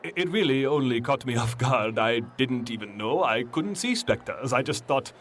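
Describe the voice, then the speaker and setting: A man playing an awkward, lovestruck centuries-old vampire in a comedy audio drama, speaking bashfully with halting stammers. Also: medium pitch, animated, fairly bright tone, slight background noise, lightly acted. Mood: fond, earnest. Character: lovestruck, awkward centuries-old male vampire telling his dating story. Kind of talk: mock dating testimonial in comedy audio drama.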